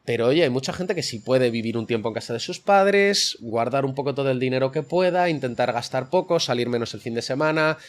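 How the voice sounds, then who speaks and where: A man's voice: mid-range voice, lively intonation, fairly quick, few pauses. A young man from the Madrid area with a central Spanish accent, giving long, explanatory answers as an interview guest. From Madrid area, Spain. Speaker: interview guest, online fitness coach.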